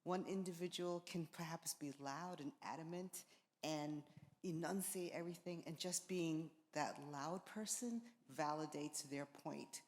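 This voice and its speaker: An older woman addresses an audience as a long-serving nurse. Her voice is quite low and measured, carried with long hall reverb. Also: darkish tone. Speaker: veteran nurse. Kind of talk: personal remarks to an audience. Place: large indoor hall at a live event.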